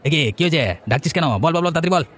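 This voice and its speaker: A man's voice: on the high side, steady tone, racing, a bit bright, a faint crowd, slight background noise, close to an everyday voice. A man playing a rude, greedy, scornful village handyman uncle in a cartoon, brusque and repeating words impatiently. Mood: gruff, impatient. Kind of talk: Bengali cartoon voice acting.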